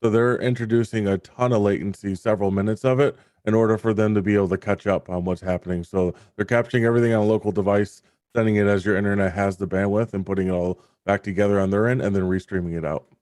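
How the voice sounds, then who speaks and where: A man's voice: on the low side, steady tone, fast talker, darkish tone, no room sound, clean studio sound. A man on an online panel giving a plain, conversational technical explanation. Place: online video call, close dry sound.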